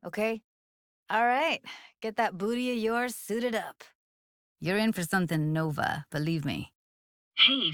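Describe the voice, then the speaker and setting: A woman's voice: mid-range voice, bright, forceful tone, no echo, studio quality. A woman playing a wry, tender young streetwise techie in a video game, with casual, clipped, slangy delivery. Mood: brisk teasing. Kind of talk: video game character dialogue.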